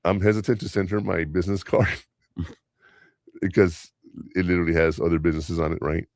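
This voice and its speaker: A deep-voiced man with a gravelly edge hosts a casual podcast. Spanish is his first language. He rambles through stories from his work, chuckling here and there. The sound is dry and close, with no room echo. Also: level tone, moderate pace, darkish tone. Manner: rambling, anecdotal, chuckles often. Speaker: podcast host and school teacher.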